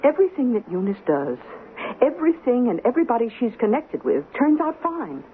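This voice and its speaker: A woman's voice: fairly high pitch, sing-song, a little fast, phone-quality sound. A woman acting in a radio drama as an affectionate, reasoning wife, with a coaxing, explanatory and theatrical delivery. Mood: eager, persuasive. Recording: thin, noisy old radio broadcast.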